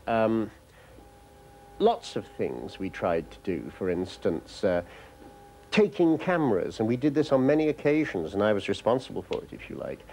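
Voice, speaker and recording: A man talking reflectively and emphatically in an interview as a political correspondent, letting the ends of phrases trail away quietly. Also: medium pitch, rough recording. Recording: clear background noise.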